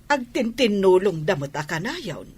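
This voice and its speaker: A woman playing an older Ilocano village mother who frets about her married child in a radio drama, with a husky voice. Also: medium pitch, very animated, measured pace, heavily acted. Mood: dismissive, chatty.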